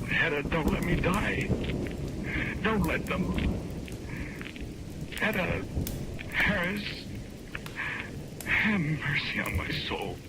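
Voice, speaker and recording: An actor in a 1940s radio drama playing a desperate, innocent condemned man who narrates his ghostly tale in a brooding, halting, intense way. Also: a little high, very noisy, full character voice. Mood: desperate, pleading. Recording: old 1940s radio broadcast with music. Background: dramatic radio music.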